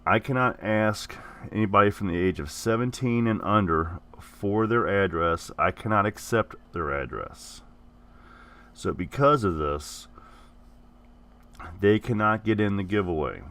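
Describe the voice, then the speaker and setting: A man's voice: fairly low pitch, lively intonation, a little slow, a bit bright. A man hosting his gaming channel who is getting over the flu, talking casually and correcting himself as he goes. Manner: rambling, repeats and corrects himself. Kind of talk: casual giveaway announcement.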